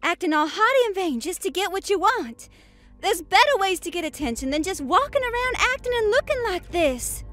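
A woman's voice: very high-pitched, big pitch swings, moderate pace, fairly bright tone, exaggerated voice. A woman voicing a kind, wholesome young snow woman with a folksy twang, speaking earnestly in an audio drama. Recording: faint music behind.

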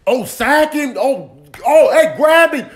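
A man's voice: very high-pitched, lively intonation, takes it slowly, voice pushed up, exaggerated voice. A man voicing an exasperated football coach in a comedy dub, barking repeated orders with frustrated swearing. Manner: repetitive, frustrated yelling with mild swearing.

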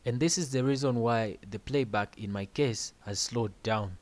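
Guy explaining daw settings step by step, plain steady voice, home studio voiceover. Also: mid-range voice, normal speed, loud music behind the voice. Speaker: music production tutorial host. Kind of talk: screen-recorded software tutorial.

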